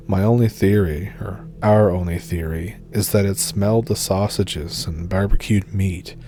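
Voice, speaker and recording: Low, mellow Maritime Canadian man. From New Brunswick, Canada. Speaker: storytelling channel host. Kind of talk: reading viewer encounter stories.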